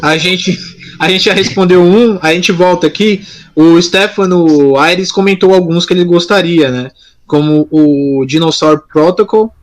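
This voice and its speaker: A man's voice: sits high, measured pace. A man co-hosting a casual live podcast, talking informally and often interrupting himself mid-thought. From São Paulo, Brazil. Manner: informal, rambling, self-interrupting. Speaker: podcast co-host. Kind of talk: casual live chat, answering listeners.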